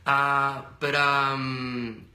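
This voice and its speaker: A man's voice: mid-range voice, level tone, very slow, bright, forceful tone. A man in his thirties with a Venezuelan accent, a guest speaking earnestly in a casual interview, often restarting his words. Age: 30s. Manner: earnest and upbeat, many restarts and stammers. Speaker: guest, radio and TV personality. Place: small indoor office room. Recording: echoey small room.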